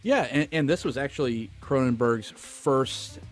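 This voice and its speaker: Male podcast co-host: average pitch, chatty, enthusiastic, loose with swearing, faint music underneath. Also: unhurried. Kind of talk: casual film discussion.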